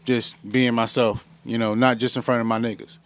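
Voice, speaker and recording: A man co-hosting a podcast in casual chat, speaking in a hesitant, halting way. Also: medium pitch, level tone, clear, resonant tone. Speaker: podcast co-host.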